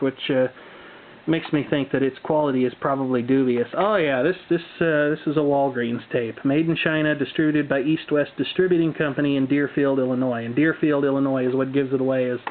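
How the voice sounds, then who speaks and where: A man presenting a casual, unscripted show-and-tell with dry, wry humor and folksy turns of phrase. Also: mid-range voice. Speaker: hobbyist video presenter. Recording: muffled phone-like audio with background noise.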